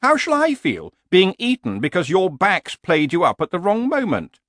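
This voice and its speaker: A nagging, sensible horse, voiced by a man reading a story, pitched well above an everyday male voice and swinging widely in tune. It pleads and reasons plaintively, like a worried travelling companion, in a dry, close recording. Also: wildly swooping pitch, moderate pace, a bit bright, no echo, exaggerated voice. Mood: complaining.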